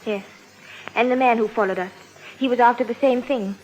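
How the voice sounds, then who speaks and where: A fairly high-voiced young temptress of old-time radio drama, played by an actress. She is romantic and alluring, with a quietly scheming streak beneath it. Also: fairly quick, a little hiss or hum, close to an everyday voice, low-quality recording. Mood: cool, alluring. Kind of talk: 1950s radio drama acting.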